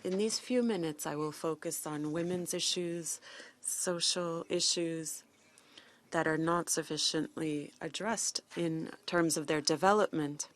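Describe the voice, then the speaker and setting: A woman giving live interpretation of a formal speech, in halting phrases with false starts. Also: sits low, a little hiss or hum. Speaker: simultaneous interpreter.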